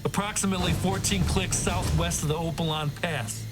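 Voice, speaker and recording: An actor playing an anxious young officer in a sci-fi motion comic, deferential and a little hesitant. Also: male voice, on the high side, steady tone, fairly quick, natural voice. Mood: nervous, dutiful. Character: earnest, anxious junior male lieutenant reporting to superiors. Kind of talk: animated motion-comic drama acting. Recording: loud music behind the voice.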